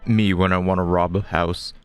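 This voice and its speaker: A man's voice: deep voice, fairly even intonation, moderate pace, music in the background. A man giving casual, joking, sometimes profane commentary while playing a video game. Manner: laid-back, joking, profane. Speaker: game streamer.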